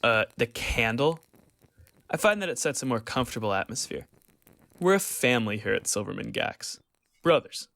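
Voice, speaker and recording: An actor playing an eerily welcoming, unctuous corporate job interviewer in a radio play. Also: male voice, medium pitch, lively intonation, normal speed, creaky, fairly bright tone. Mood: smugly welcoming.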